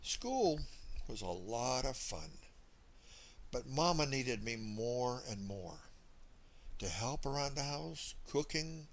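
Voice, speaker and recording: Animated male storyteller, folksy and dramatic. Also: medium pitch. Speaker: audiobook narrator. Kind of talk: first-person scripted story narration.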